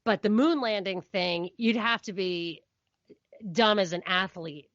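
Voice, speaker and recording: A woman's voice: medium pitch, a little slow, fairly bright tone, dry recording, highs cut off. A middle-aged woman co-hosting a podcast, chatting casually and giving her opinions. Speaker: podcast co-host.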